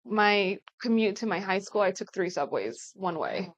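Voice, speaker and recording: A chatty young woman from Brooklyn whose first language is Polish, speaking at an average pitch. She tells her story as a guest attorney in a relaxed podcast interview, full of quick asides. The recording sounds a little dull and lo-fi. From Brooklyn, New York.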